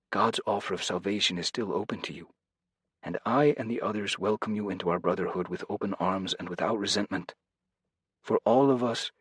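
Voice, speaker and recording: A man voicing a calm, fatherly, devout interrogator who speaks reassuringly. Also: fairly low pitch, husky, no room sound, pitched above the performer's own voice.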